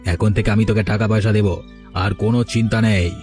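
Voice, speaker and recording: Cartoon crime villain, male actor: lowish pitch, bossy and conniving, faint music underneath. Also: steady tone, fairly quick, a little mellow, natural voice. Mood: coaxing. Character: scheming male village trafficker and his masked boss. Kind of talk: cartoon story dubbing. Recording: music behind the voice. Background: background music.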